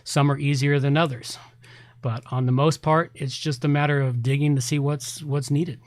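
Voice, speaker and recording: A man's voice: on the high side, level tone, moderate pace, no echo. A man hosting a tech podcast, talking casually and explaining things with emphatic repetition. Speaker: podcast host, website repair specialist.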